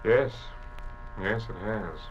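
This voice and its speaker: A hesitant, polite visitor. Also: male voice, fairly low pitch, slow, muffled recording. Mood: subdued. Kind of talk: 1950s radio drama acting. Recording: old radio transcription with loud hiss and clicks.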